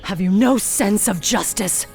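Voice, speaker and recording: An actress voicing a bitter, vengeful elf warden in a fantasy game, harsh and indignant. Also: female voice, medium pitch, measured pace, creaky, over music. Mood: outraged.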